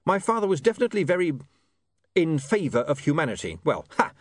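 A man's voice: on the high side, sing-song, fast, dry recording, clean studio sound, pitched above the performer's own voice. A man voicing an awkward, defensive, well-meaning young newspaperman in an audiobook. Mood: flustered, defensive. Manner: flustered, stammering, self-justifying. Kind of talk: audiobook character voice.

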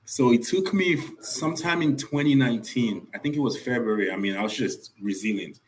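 A man's voice: mid-range voice, brisk, a little hiss or hum, poor audio. A young man from Nigeria, a guest on a podcast, telling his story in an earnest way and repeating points for emphasis. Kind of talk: casual podcast interview.

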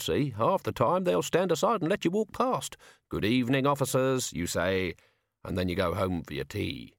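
A man voicing a careful, seasoned criminal who instructs in a measured, plain-spoken way, in an audiobook. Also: mid-range voice, no echo, studio quality, close to an everyday voice. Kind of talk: audiobook character voice.

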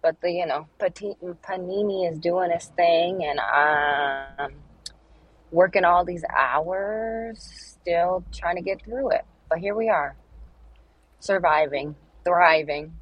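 A woman's voice: lowish voice, takes it slowly, highs cut off, a little hiss or hum. A woman co-hosting a casual podcast chat, tired from hospital work, laid-back and slangy. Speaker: podcast co-host, hospital worker.

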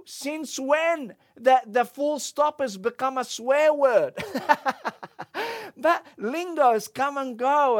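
A middle-aged man, preaching a sermon and telling a personal story, with lively quoting and frequent restarts. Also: high-pitched. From Egypt. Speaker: pastor.

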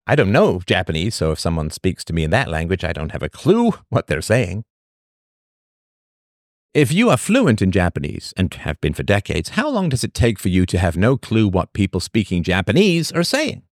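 A man hosting a podcast, reading a scripted monologue full of rhetorical questions and emphatic pauses. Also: deep voice, huge pitch swings, no echo, clean studio sound. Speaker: podcast host.

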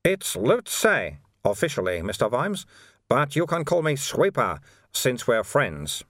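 A man's voice: medium pitch, huge pitch swings, takes it slowly, a bit bright, no room sound, clean studio sound, heavily acted. A man voicing a cheery, wily, skinny old bald monk in an audiobook, with a friendly, teasing assurance. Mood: cheerful, matter-of-fact.

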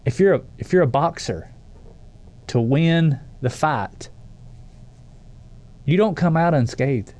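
A man's voice: fairly high pitch, animated, takes it slowly, long pauses, a little mellow. A man co-hosting a faith podcast, talking in an earnest, emphatic way and often restarting phrases. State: stuffy with allergies. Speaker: podcast co-host.

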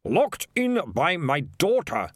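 Man playing a middle-aged mayor, firm, pointed, lively pitch, dry sound. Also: measured pace, no echo, heavily acted. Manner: flustered, then firm and cutting. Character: bewildered middle-aged male town mayor.

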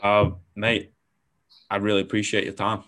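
Very low-voiced frank young man. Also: completely flat delivery, normal speed, a bit bright, smooth. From outside New Zealand. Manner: frank, rambling, many fillers and "like", swears casually. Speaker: podcast host. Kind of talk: casual interview chat.

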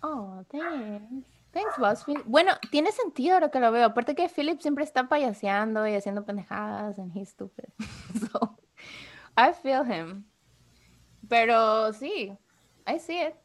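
A woman's voice: fairly high pitch, expressive, measured pace. A woman chatting casually as a podcast co-host, switching freely between two languages mid-sentence. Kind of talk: casual chat.

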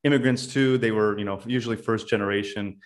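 A young man from California, a podcast guest telling his story in a loose, casual way with many pauses and "uh"s. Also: on the low side, steady tone. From California, USA. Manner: loose, thinks aloud with many "uh"s.

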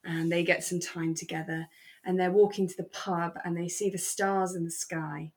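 A British woman co-hosting a relaxed fan podcast, chatting in an effusive, sentimental way. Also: fairly low pitch, normal speed. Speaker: podcast co-host. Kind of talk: relaxed fan chat about a radio drama.

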